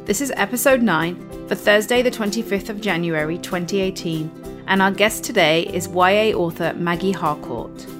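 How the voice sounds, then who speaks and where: A woman's voice: medium pitch, moderate pace, over music. A woman hosting a book podcast, reading a scripted intro and then interviewing her guest with enthusiasm. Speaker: podcast host.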